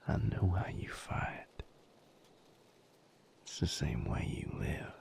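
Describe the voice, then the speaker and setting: A man playing a protective, tender boyfriend in an intimate audio roleplay, speaking gently and earnestly in a breathy, creaky near-whisper. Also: rumbling low, very animated, exaggerated voice. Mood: firm concern. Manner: slow, gentle, earnest, firm. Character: protective, tender male boyfriend tending his hurt partner.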